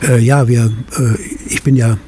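An older man with a Rhineland German accent, a winery owner answering interview questions haltingly, with many filler sounds, outdoors at a vineyard. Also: mid-range voice, steady tone, warm tone, slight background noise. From Middle Rhine, Germany.

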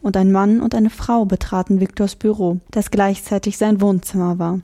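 A woman reading a children's novel aloud as the narrator, expressive and lively. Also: medium pitch, normal speed. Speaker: audiobook narrator. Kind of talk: scripted storybook narration.

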